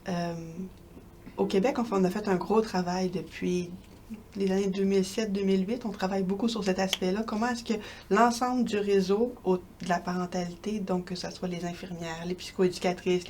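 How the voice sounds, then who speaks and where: A woman from Quebec with a Québécois accent, answering interview questions as a researcher in a measured, academic way. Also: medium pitch, a little mellow, a little hiss or hum. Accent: Québécois French.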